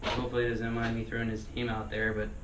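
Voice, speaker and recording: A man talking casually in a personal vlog, rambling with many fillers and restarts, his voice still getting over hoarseness. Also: mid-range voice, monotone, fast. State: recovering from lost voice. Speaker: vlogger. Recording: echoey room, mic at a distance, noisy.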